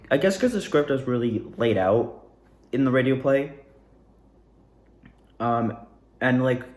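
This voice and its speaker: Young guy chatting to himself casually, keeps correcting himself, normal pitch, echoey room. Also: a little mellow. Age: young adult. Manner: rambling, frequently backtracking and self-correcting. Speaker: drama student. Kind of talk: casual reflective monologue in self-interview.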